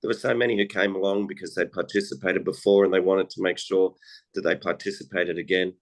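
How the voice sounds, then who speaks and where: A man speaks casually as an interview guest. His voice is raspy and hoarse from illness. He talks low and fairly monotone. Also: a little fast. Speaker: project coordinator, guest.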